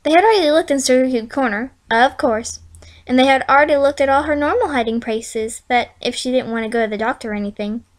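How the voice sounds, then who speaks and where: A woman's voice: high-pitched, a bit bright. A woman reading fan fiction as an informal storyteller, conversational and stumbling over words. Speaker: amateur fan fiction reader.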